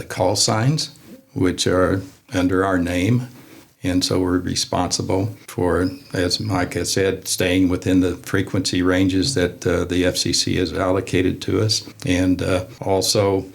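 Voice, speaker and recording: Male club spokesman, low gravelly voice, measured and slightly formal in conversation. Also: little pitch movement. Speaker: interview guest, amateur radio club member. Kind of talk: conversational explaining.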